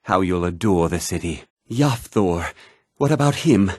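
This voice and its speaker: A man's voice: low-pitched, lively intonation, unhurried, darkish tone, breathy edge, no room sound, clean studio sound, exaggerated voice. A man playing an ardent, smitten suitor in a video game, with a romantic, theatrical way of speaking. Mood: ardent, urgent. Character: smitten male suitor, ardent and scheming to elope. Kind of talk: video game character dialogue.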